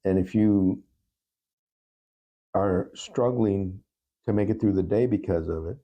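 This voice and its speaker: A man speaking as a podcast guest in a reflective interview, gentle, reassuring and deliberate. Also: sits low, highs cut off.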